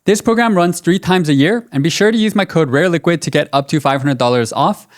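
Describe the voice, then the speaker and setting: A man's voice: fairly high pitch, a little fast. A man hosting a podcast, reading an upbeat intro and asking interview questions. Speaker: podcast host.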